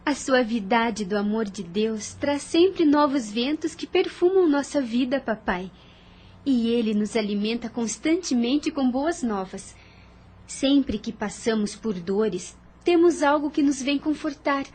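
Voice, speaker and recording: An earnest, devout young daughter from an audio drama. Her voice sits fairly high with a husky edge. She speaks sweetly and with pleading sincerity. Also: expressive, measured pace, darkish tone.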